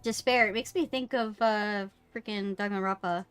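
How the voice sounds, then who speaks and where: A woman's voice: mid-range voice, steady tone, normal speed, fairly bright tone, clear, resonant tone, soft background music. A woman chatting casually as a streamer during a game.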